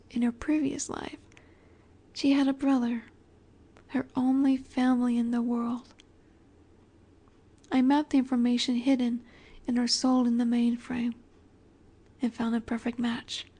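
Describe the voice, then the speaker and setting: Immortal vampire heiress , played by a woman for romance audio drama: soft, dark-toned, very slow, elegant, wistful, quietly possessive and commanding. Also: fairly high pitch. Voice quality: soft, breathy, often near-whispered. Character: ageless female vampire boss's daughter, wistful, tender, possessive. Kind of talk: intimate romantic audio roleplay.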